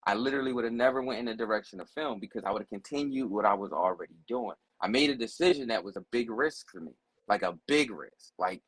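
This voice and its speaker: Casting: man in his 40s, husky voice, blunt and profane, casual storytelling. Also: medium pitch, fairly bright tone, dry recording, low-quality recording. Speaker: guest telling his life story. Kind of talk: casual conversation.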